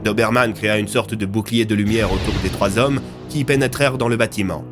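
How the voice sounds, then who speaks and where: Male narrator for audio fiction: lowish voice, dramatic scripted delivery, clear music bed. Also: fairly even intonation, brisk.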